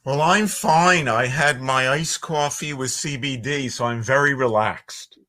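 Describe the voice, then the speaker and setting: A man from Philadelphia with a clear Philly accent, talking slowly and deliberately. His voice is bright and forward, and he explains his points at length in a friendly, articulate way as a podcast guest. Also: mid-range voice. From Northeast Philadelphia. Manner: measured, articulate, chatty. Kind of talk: conversational podcast interview. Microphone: audio-only remote podcast call.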